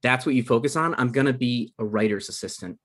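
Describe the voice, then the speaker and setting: A man's voice: medium pitch, quick pace, close to an everyday voice. A man playing an insecure, defensive aspiring comedy writer in his thirties at a casual table read. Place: remote online table read over internet.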